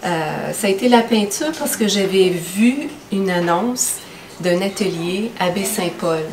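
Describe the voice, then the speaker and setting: A woman with a Quebec French accent talks about her art and pauses on many fillers. She sounds set back from the mic in an echoey space. Steady noise runs behind her. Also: mid-range voice, recorded from a distance. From Outaouais, Quebec. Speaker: painter.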